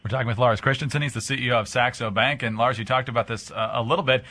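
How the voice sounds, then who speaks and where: A man hosting a radio interview, reading smooth introductions and putting questions to his guest. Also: mid-range voice, steady tone, racing, bright, forceful tone. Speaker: radio talk show host.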